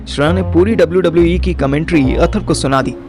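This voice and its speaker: Indian guy narrating an audio story, slightly high voice, wry and colloquial, soft music behind. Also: quick pace.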